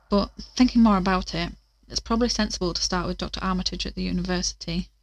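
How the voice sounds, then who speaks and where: A woman acting as an earnest investigator in a horror audio drama. She talks conversationally and thoughtfully, as if keeping an audio diary. Her mid-pitched voice sits dry and close. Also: no room sound, close to an everyday voice, poor audio.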